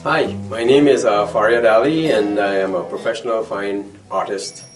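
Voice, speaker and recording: Lowish-voiced man from Trinidad, roomy sound. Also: steady tone, normal speed, soft background music. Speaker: painter. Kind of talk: casual talk to camera about his work. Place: indoor gallery or studio. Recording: roomy, distant mic, faint noise.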